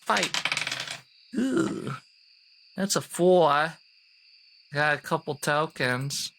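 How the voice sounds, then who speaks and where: A man's voice: fairly high pitch, very slow, gravelly, a bit bright. A man playing a tabletop role-playing game, bantering casually in a jokey, improvised way.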